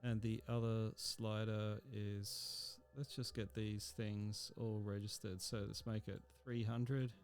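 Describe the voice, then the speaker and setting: A man teaching a music software tutorial, thinking aloud step by step with frequent ums. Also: fairly low pitch, level tone, slow, hardly any pauses. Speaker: music technology instructor. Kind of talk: screen-recorded software tutorial.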